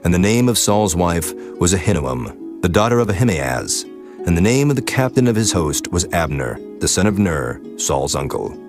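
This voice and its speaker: A man narrating scripture in a solemn, steady storytelling manner. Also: low voice, normal speed, music in the background.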